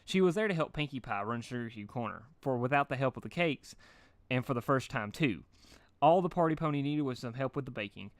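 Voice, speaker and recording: A man's voice: medium pitch, lively intonation, a little fast, no room sound. A man reading fan fiction aloud as a narrator. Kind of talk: storybook-style narration.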